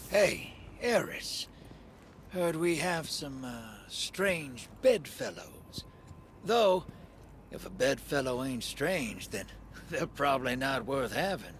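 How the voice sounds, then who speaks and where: An easygoing scoundrel of a game world. He ambles through his lines in a folksy, wry drawl. The pitch swoops freely, and faint noise sits behind him. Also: sing-song, takes it slowly. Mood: wry, curious. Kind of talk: video game character acting.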